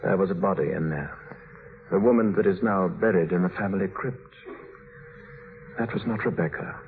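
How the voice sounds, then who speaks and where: A man's voice: low voice, normal speed, creaky, phone-quality sound. A man playing a tormented, guilt-burdened husband in a 1950s radio drama, grave and bitter in delivery. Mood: grim, resigned. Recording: thin, faintly noisy vintage radio recording.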